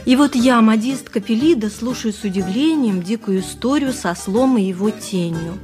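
An actress playing a simple, thoughtful milliner in a radio play, narrating and talking in an ingenuous, musing way. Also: female voice, a little high, normal speed, darkish tone, soft background music, natural voice. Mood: puzzled, musing.

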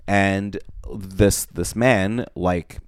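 A young man from Russia co-hosting a podcast, telling a story in a deadpan comic way that turns loud when he gets riled. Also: deep voice, very slow, no echo, clean studio sound. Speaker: podcast co-host. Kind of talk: casual comedic chat.

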